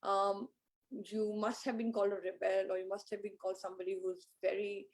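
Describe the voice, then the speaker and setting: A woman's voice: medium pitch, little pitch movement, fairly quick, rough recording. Admiring woman interviewer, hesitant phrasing. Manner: admiring, hesitant with many fillers. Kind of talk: conversational interview.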